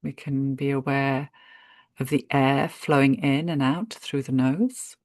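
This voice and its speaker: A woman guiding a meditation in a gentle, unhurried manner, speaking in short phrases with spacious pauses. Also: rumbling low, steady tone, no echo, clean studio sound. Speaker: meditation guide. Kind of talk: guided meditation narration.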